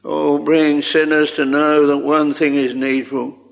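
A man's voice: a little high, little pitch movement, measured pace, creaky. A man leading an extempore public prayer, pleading earnestly in short repeated phrases with old-fashioned thee and thou wording. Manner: short repeated phrases, trailing soft endings.

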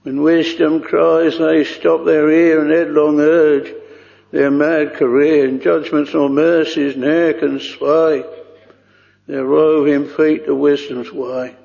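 A man prays aloud in a slow, solemn, pleading way, using old-fashioned thee and thou. His voice is very gravelly and even, with little rise or fall. The lo-fi recording carries a long reverb from a large church hall. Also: on the high side. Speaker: minister leading prayer. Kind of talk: extempore congregational prayer.

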